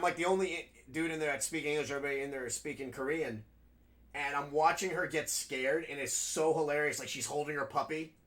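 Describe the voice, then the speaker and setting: A man with a raspy, nasal New York accent, chatting casually as a game streamer while he plays. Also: on the high side, fairly bright tone. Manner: rambling, chatty, full of fillers. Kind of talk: casual gameplay commentary.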